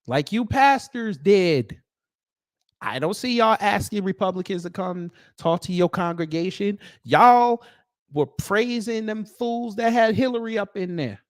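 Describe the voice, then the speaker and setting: A man with an American accent hosting off-the-cuff online commentary in a sarcastic, confrontational manner with pointed pauses. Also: sits high, expressive, no room sound, clean studio sound. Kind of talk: off-the-cuff opinion commentary, reading posts aloud.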